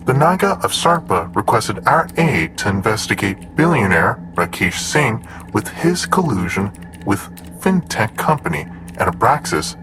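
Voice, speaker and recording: An actor playing a formal, precise, unflappable android assistant in an audio drama, reporting in a measured manner. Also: male voice, mid-range voice. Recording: clear background noise with typing.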